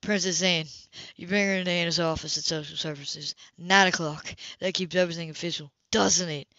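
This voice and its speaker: A woman's voice: lowish voice, steady tone, fairly quick, a bit bright, dry recording. Woman reading as cold, angry man, muffled. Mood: threatening. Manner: curt, accusing.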